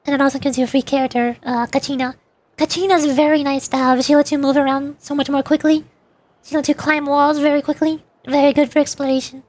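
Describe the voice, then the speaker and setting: High-voiced woman, casual streamer chat. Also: flat delivery, quick pace, a little mellow. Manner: rambling, informal, playful. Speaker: VTuber streamer. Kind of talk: casual livestream chat about games.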